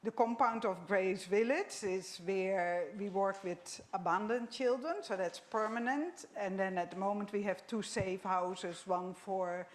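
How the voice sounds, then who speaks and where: A woman's voice: mid-range voice, a little slow, hardly any pauses. Dutch-accented middle-aged woman. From Netherlands. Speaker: missionary nurse. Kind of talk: church interview. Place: church hall.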